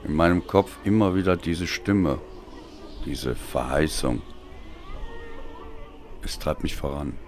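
A man reading a dark story as a dramatic narrator, in a brooding, deliberate and theatrical manner. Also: rumbling low, noisy background. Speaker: audiobook narrator.

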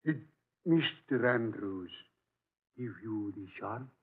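An actor playing an evasive, deferential manservant with a halting, stammering delivery in 1940s radio drama style. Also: male voice, lowish voice, animated, husky, full character voice. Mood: nervously reassuring. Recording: narrow, lo-fi old radio broadcast.

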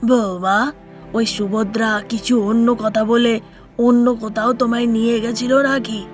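A woman's voice: a little high, flat delivery, moderate pace, faint music behind, close to an everyday voice. A woman voicing a kindly older father-in-law in a cartoon tale, concerned and questioning. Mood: worried. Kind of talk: cartoon fairy-tale character acting.

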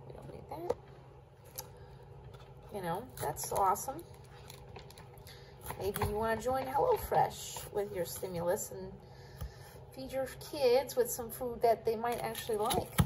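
A woman's voice: medium pitch, noisy background. A woman chatting casually with her viewers while she chops vegetables at her kitchen counter. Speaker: cooking vlogger. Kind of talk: casual cook-along chat.

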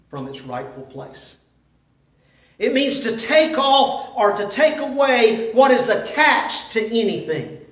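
An older man preaching a sermon in a small church, animated, pushing ahead quickly and then dropping low and quiet for emphasis. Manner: animated, gesturing preacher who speeds up and drops quiet for emphasis. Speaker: pastor. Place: small church sanctuary, indoors. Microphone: far camera, no visible mic. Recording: roomy echo, distant mic.

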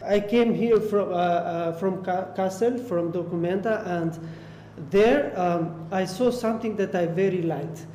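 A man's voice: high voice, a little slow, mellow. Hesitant young man, echoey hall. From Albania. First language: Romani. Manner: hesitant, many repeated words and fillers. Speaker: artist panelist. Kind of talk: panel discussion. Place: art gallery room. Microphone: stand mic. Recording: echoey hall, faint noise.

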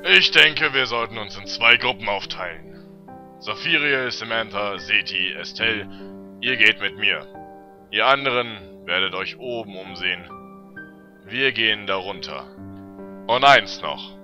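A man acts out a decisive leader of fantasy adventurers in a radio play. His voice is lowish and intensely bright, giving instructions. The sound is slightly dulled, with faint music behind. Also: animated, measured pace, full character voice. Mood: commanding. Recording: muffled lo-fi amateur recording.

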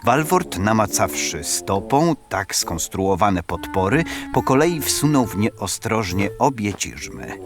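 A man narrating an audio drama as a storyteller, with measured, expressive literary delivery. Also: on the low side. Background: background music.

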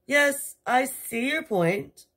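Woman acting a fairy godmother, storybook, bustling, helpful, raised pitch, exclamatory. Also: animated, extremely slow, fairly bright tone, full character voice. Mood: apologetic.

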